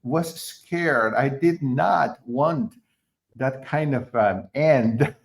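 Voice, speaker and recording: A middle-aged man from Argentina, a concert pianist being interviewed, who talks in a halting way with many fillers and restarts. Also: medium pitch, creaky, a little hiss or hum. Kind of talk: conversational interview.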